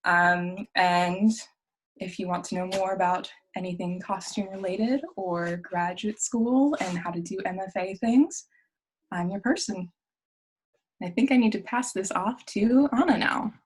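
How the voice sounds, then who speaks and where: A woman's voice: medium pitch, measured pace, a little hiss or hum, rough recording. A young woman graduate student introducing herself on a video call, easygoing with some fillers. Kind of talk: self-introduction.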